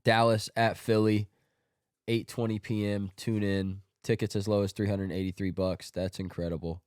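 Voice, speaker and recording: A man's voice: on the low side, flat delivery, normal speed, darkish tone, clean tone, no echo, clean studio sound. A man hosting a sports podcast, a little congested from a cold, running through his picks in an offhand, joking way. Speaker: podcast host. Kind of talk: casual sports podcast monologue.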